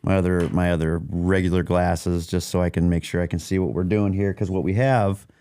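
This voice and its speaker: Podcast host, man, very low and resonant, loose rambling delivery, dry close mic. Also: steady tone, a little fast, dark tone, clear, resonant tone. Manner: rambling, many restarts and fillers. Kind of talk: casual chat. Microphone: studio mic.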